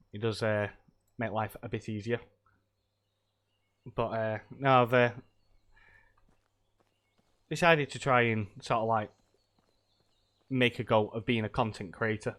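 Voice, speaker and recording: Male game streamer, a bit low, croaky with a cold, chatty and hesitant. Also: a bit bright. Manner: rambling, loose, many hesitations. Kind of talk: casual live-stream chat while gaming.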